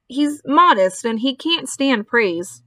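A woman reading a storybook, voicing an excitable, blustering male farmer who hollers emphatically. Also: high voice, slow pace, no echo, clean studio sound, pitched above the performer's own voice, heavily acted. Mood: insistent, flustered.

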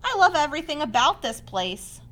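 A woman's voice: high-pitched, sing-song, brisk, fairly bright tone, pitched above the performer's own voice. A woman voicing an innocent young male pig in a storybook, earnest, childlike and eager, with an anxious, affectionate temper.

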